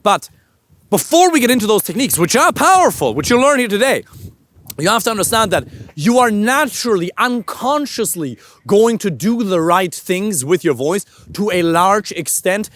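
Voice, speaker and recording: A man's voice: sits high, sing-song, normal speed, fairly bright tone. A man coaching other men on how to use their voice, talking to camera while walking outdoors, emphatic and casual, with the odd swear word. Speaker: dating coach.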